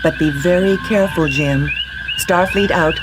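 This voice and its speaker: An actor playing an authoritative but concerned fleet superior in a video game, giving a formal, sober briefing. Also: male voice, on the high side, moderate pace, heavy background noise, close to an everyday voice. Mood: grave, cautioning. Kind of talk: scripted science fiction game acting. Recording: loud music under game dialogue.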